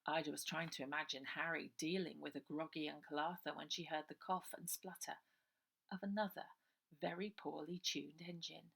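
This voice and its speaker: A woman's voice: sits low, steady tone, moderate pace, vocal fry, a bit bright, husky. A woman reading her children's novel aloud as a dramatic storybook narration.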